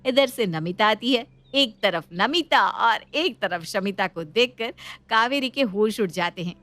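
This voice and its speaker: A woman's voice: medium pitch, lively intonation, a little slow, a bit bright, dry recording. A woman storyteller reading a scripted story narration.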